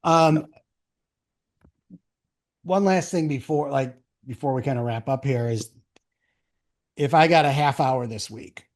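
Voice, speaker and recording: A man's voice: mid-range voice, measured pace, long pauses, a little mellow. A man hosting a casual interview, riffing enthusiastically in rambling thoughts and often checking in with the listener. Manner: thinks aloud in rambling, enthusiastic riffs, often checking with "right?". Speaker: podcast host and interviewer.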